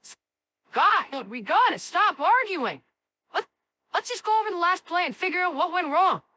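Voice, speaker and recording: A woman voicing a spirited, cocky and excitable cartoon kid with an exclamatory, dramatic delivery. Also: very high voice, normal speed, full character voice. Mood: argumentative. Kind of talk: animated series voice acting.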